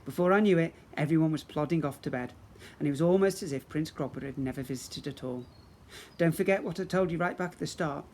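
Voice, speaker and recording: A man's voice: fairly high pitch, quick pace, darkish tone. Man reading a children's storybook expressively. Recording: faint background noise.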